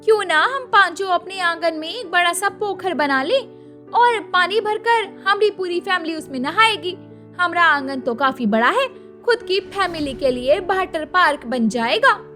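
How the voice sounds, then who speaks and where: A woman's voice: very high-pitched, expressive, measured pace, bright, forceful tone, faint music behind, full character voice. A woman voicing a naive, resourceful village daughter-in-law in a story cartoon, with a rural eastern Hindi dialect and a folksy, eager manner. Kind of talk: animated moral-story cartoon dialogue.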